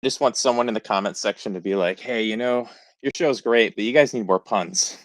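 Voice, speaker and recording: A man's voice: on the low side, a little fast, no echo. A man chatting casually as a commentator on a group podcast. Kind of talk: casual chat.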